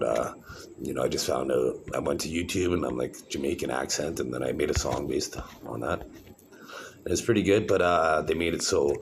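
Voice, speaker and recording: Very deep, gravelly-voiced middle-aged man. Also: slight background noise. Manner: meandering, many fillers and restarts. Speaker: vlogger showing his own videos. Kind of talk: rambling casual monologue to camera.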